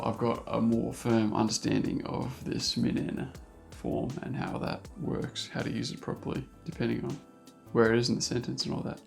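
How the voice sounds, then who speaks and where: A man's voice: mid-range voice, gravelly, a little mellow. A man vlogging casually about learning a new language, upbeat and self-deprecating, talking straight to his viewers. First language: English. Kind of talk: casual vlog talk to camera.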